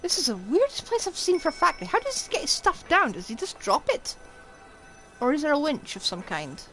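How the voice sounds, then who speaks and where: Animated woman streaming a game. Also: high-pitched, a little fast, soft background music, low-quality recording. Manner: thinking aloud, blunt remarks. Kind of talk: live gameplay commentary.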